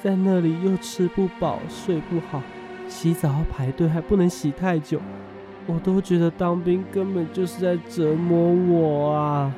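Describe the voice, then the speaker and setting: A man's voice: on the high side, steady tone, moderate pace, music in the background, close to an everyday voice. A Taiwanese man acting a whiny, grumbling young military conscript in a radio drama.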